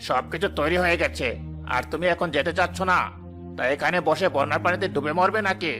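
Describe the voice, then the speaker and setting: Cartoon villain: male actor as greedy, bullying village headman, slightly high-pitched, scornful, prominent music underneath. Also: a little fast. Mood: angry, impatient. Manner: scornful, domineering. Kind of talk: animated moral story voice acting. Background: loud background music.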